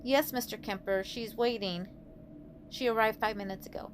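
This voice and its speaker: A woman's voice: fairly high pitch, fairly even intonation, normal speed, slight background noise, lightly acted. A woman playing an efficient, courteous, deferential office secretary in a radio drama.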